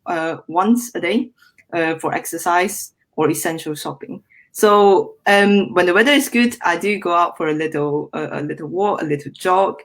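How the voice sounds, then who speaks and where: A young woman from Malaysia, speaking as a guest in a podcast interview. Her voice sits a little low for a woman. She explains in a friendly way, often pausing on "um" and repeating words as she goes. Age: young adult. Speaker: guest engineer.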